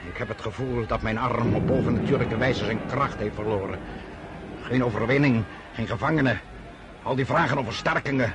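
A gravelly middle-aged emperor acted by a man, commanding and curt, in a radio war drama. His pitch is ordinary for a man, his manner imperious and weary. Clear battlefield noise runs behind him. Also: lightly acted. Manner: curt and imperious, muttering asides, rhetorical.

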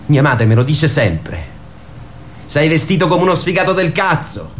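A man's voice: a little high, big pitch swings, measured pace, slight background noise. An actor playing a talkative, frustrated teenage boy eager to escape, rambling insistently in slangy radio theatre dialogue. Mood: agitated.

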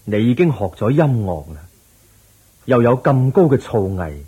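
An actor playing a serene, wise Buddha in a Cantonese radio drama, teaching patiently and solemnly with a Hong Kong accent in drawn-out phrases. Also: male voice, mid-range voice, sing-song, warm tone, breathy edge. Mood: encouraging.

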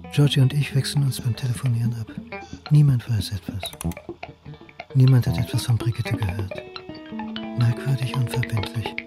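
A man's voice: medium pitch, close to an everyday voice. Over prominent music comes a prickly male academic played by an actor in a radio crime drama. His voice sits in a middle register. He is insistent and pointed, as if always after an answer. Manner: pointed, questioning. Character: male academic historian turned amateur sleuth, insistent, prickly. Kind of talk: German radio play dialogue and first-person narration. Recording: music behind the voice.

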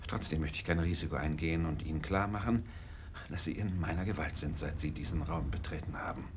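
An actor playing a menacing, coolly polite gentleman villain in a German radio drama, with formal, deliberate and self-important speech. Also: a bass voice, very noisy.

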